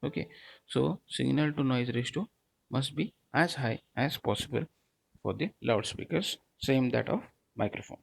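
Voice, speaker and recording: A man's voice: medium pitch, moderate pace, creaky, no room sound. A man giving a technical lecture in a didactic way, often punctuating his points with short check-in words. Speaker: teacher, lecturer.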